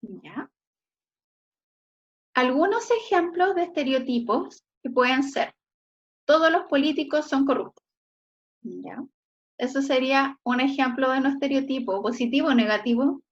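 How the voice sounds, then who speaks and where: A Chilean woman teaches a class over a dull, lo-fi connection. Her voice sits fairly high, and she keeps checking that her students are following. She praises their examples warmly and often. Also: lively intonation, a little slow, pauses often. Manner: frequent checking "¿ya?" tags, encouraging. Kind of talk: online class lecture.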